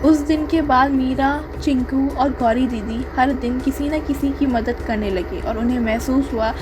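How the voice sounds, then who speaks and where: High-voiced woman narrating a children's story. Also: fairly even intonation, measured pace, over music, rough recording.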